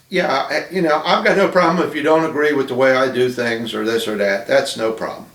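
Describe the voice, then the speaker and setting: A man's voice: mid-range voice, a bit bright. Hobbyist man talking to camera in his apartment, loose and rambling, heard from a distance. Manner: rambling, many fillers and restarts. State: losing his voice, coughing. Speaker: model ship builder. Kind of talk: casual talk to camera. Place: small apartment room. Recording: echoey room from off-mic.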